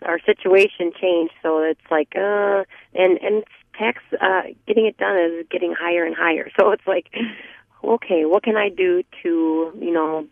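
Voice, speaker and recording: A woman's voice: fairly low pitch, fairly even intonation, normal speed, vocal fry, no echo. A woman in her fifties calling in to a radio show, talking casually with many restarts and small laughs. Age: 50s. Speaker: caller. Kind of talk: casual call-in chat. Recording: tinny phone line.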